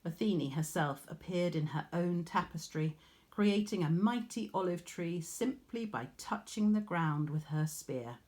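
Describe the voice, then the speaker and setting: A woman reading a story aloud in a measured, expressive storytelling manner, like a teacher. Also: lowish voice. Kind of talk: scripted storybook narration.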